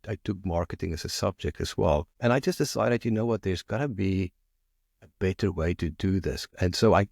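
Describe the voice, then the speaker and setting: A man's voice: deep voice, a little mellow, dry recording, clean studio sound. A man from South Africa with a South African accent, a marketing agency founder talking casually as an interview guest, often repeating and restarting words as he thinks aloud.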